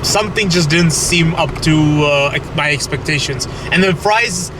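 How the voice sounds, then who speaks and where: A man's voice: on the high side, moderate pace. A young man from Belgium vlogging casually from the driver's seat of a car, chatty and hesitant with many restarts, talking to viewers through a camera on the dashboard. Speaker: food vlogger. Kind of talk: casual vlog chat to camera. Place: inside a car, driving. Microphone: camera mounted on dashboard. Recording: car and road noise behind.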